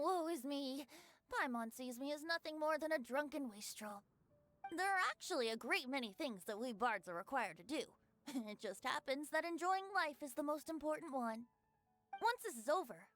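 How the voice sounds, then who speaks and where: A woman's voice: high voice, animated, normal speed, fairly bright tone, soft background music. A woman playing a carefree, teasing boyish bard in a video game, breezy and mock-dramatic. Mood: mock-wounded, playful. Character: playful teasing carefree boyish male bard, fond of wine. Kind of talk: video game character acting.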